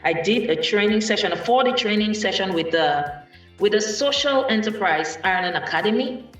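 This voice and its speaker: A speaker from Cameroon, telling a personal story at a panel event in a thoughtful way with many pauses and restarts. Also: mid-range voice, lively intonation, fairly bright tone. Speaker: guest speaker, musician. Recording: echoey room from far off, faint music.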